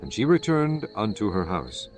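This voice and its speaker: A man narrating scripture from a script in a measured, solemn manner. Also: lowish voice, very animated. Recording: music behind the voice.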